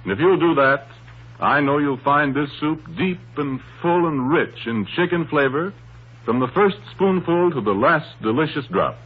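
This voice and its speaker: A male radio announcer from the 1930s with a fairly high, lively, husky voice. He delivers formal, persuasive copy over faint music, with thin phone-like sound. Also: moderate pace.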